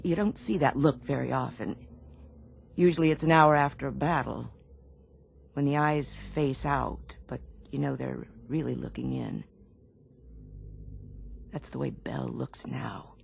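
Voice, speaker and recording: A very low, gravelly ship's doctor. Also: female voice, slight background noise, heavily acted. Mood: grave, worried. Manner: halting, grave, deliberate. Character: troubled, earnest Navy ship's doctor, female. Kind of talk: radio drama acting.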